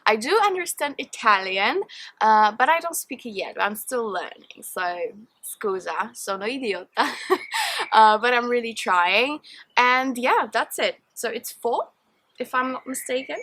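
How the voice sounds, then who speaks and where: A woman's voice: fairly high pitch, expressive, a little slow, pushed and bright, slight background noise. A young woman from Poland, whose first language is Polish, chatting openly to her viewers in a casual Q&A recorded outdoors in a garden, with many fillers. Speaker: vlogger. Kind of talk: casual Q&A chat to camera.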